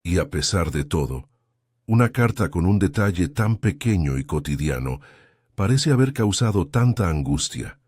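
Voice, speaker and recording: Man reading a mild, shrewd old lady sleuth, deep and very dry. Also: expressive, moderate pace, no room sound. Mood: gentle, probing. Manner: soft and kindly, probing. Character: gentle elderly spinster sleuth, mild and shrewd.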